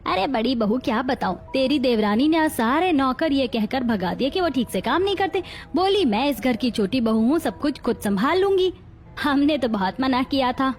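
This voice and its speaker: A woman's voice: high-pitched, animated, normal speed, soft background music. A woman playing a cruel, scheming middle-aged mother-in-law who bosses and threatens in a dramatized story. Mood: falsely sweet. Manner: menacing, commanding.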